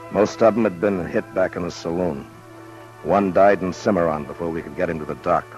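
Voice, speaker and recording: A man's voice: low voice, a little fast, darkish tone, close to an everyday voice. An American man acting a stern, weary Old West marshal in a 1950s radio western, terse and commanding. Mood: weary, narrating. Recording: muffled old radio broadcast with music.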